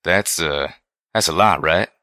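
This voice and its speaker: Guy doing a deep country pegasus pony for an audiobook, blunt but bashful, drawly, punchy sound. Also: brisk, pushed and bright, no room sound, studio quality. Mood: curious, awkward.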